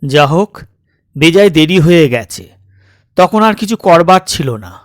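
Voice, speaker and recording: Male storyteller, higher-pitched, measured suspenseful delivery in short phrases, dry close recording. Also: lively intonation, no echo. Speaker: storyteller, narrator of audio stories. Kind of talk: dramatic scripted story narration.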